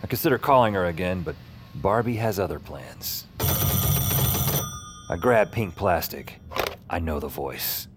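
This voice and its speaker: A man's voice: sits low, animated, a little slow, pauses often. An actor playing a wry, scrappy night-shift dispatcher who narrates his own noir audio drama in short, wisecracking bursts. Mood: wry, weary. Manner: wisecracking, clipped sentences. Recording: loud music bed. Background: loud music and sound effects.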